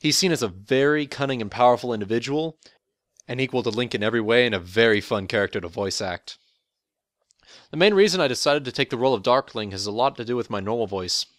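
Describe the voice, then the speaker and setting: A young man with a deep voice, a voice actor giving a prepared, relaxed, matter-of-fact account of his role. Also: fast, no echo, clean studio sound. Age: young adult. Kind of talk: prepared interview monologue. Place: college dorm room.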